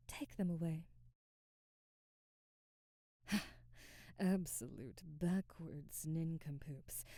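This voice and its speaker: Female vampire aristocrat, acted by a woman, very dark mellow tone, slow and imperious, formal diction, ASMR roleplay. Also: lowish voice, no room sound. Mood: defensive, stern, then exasperated, concerned. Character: haughty aristocratic female vampire, commanding, coldly indignant. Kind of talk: scripted ASMR audio roleplay.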